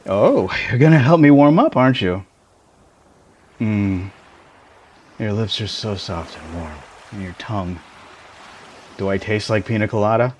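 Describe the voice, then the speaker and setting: A man's voice: mid-range voice, darkish tone, slight background noise. A man playing a tiny shrunken boyfriend in an intimate ASMR roleplay, playful, teasing and doting, with theatrical exclamations. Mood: tender, dreamy.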